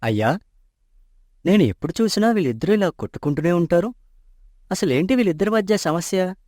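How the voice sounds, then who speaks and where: An actor voicing an honest, modest young accountant in an animated story, speaking politely and deferentially. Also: male voice, sits high, fairly quick, dry recording. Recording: dry close studio mic.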